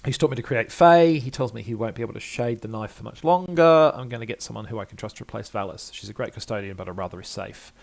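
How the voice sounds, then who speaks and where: A man reading a game journal as an anxious scholar who doubts his sanity, rambling and repeating himself in a gravelly voice. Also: medium pitch, lively intonation, fast, hardly any pauses. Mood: reeling, anxious. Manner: rambling, self-repeating, fretful.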